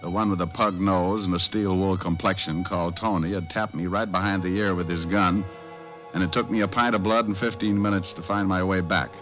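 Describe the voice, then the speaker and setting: An actor playing a sardonic American private detective in old-time radio drama, narrating and talking in a dry, flippant manner. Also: male voice, deep voice, fairly even intonation, fairly quick, lightly acted. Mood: wry narration. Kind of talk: 1940s-50s radio detective narration and acting. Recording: dull old-time radio, music behind.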